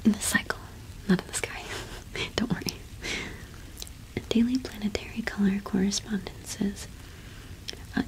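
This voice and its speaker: A young woman whispering in a breathy, gentle, unhurried way, as in an ASMR roleplay. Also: mid-range voice, poor audio. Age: young adult. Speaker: ASMR host playing a shopkeeper.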